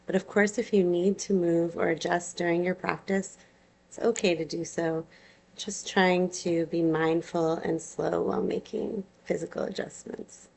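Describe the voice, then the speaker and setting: Slow, creaky-voiced woman guiding meditation. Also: fairly low pitch, flat delivery, darkish tone, rough recording. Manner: unhurried, soothing phrasing with long pauses. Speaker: yoga nidra teacher leading a guided relaxation. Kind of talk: guided meditation, gentle instruction.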